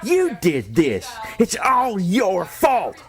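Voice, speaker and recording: An actor playing a vengeful, crude husband who yells and curses in an audio drama. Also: male voice, high voice, wildly swooping pitch, slow, faint music behind, exaggerated voice. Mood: enraged, threatening. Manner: yelling, cursing. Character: enraged arrested husband protesting innocence, vengeful and crude.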